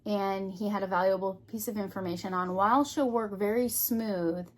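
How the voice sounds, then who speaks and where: A woman giving an unscripted, casual hobby vlog, thinking aloud in short, halting phrases. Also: mid-range voice, fairly even intonation, background noise. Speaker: craft vlogger.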